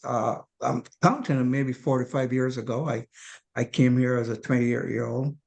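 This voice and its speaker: A Barbadian man in his seventies, a podcast guest calmly recounting his life in measured, reflective speech. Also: mid-range voice, vocal fry. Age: 70s. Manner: calm, measured, reflective with hesitant restarts. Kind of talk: reflective storytelling.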